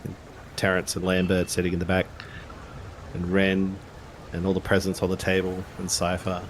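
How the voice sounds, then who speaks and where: Male game master, deep voice, halting improvised narration, some background noise. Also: monotone. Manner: halting, thinking aloud.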